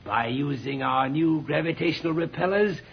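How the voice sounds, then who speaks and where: Very raspy, calculating radio villain scientist. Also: male voice, fairly high pitch. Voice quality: raspy, gravelly. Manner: measured, formal, deliberate. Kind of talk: 1950s radio serial acting. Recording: dull lo-fi old radio recording.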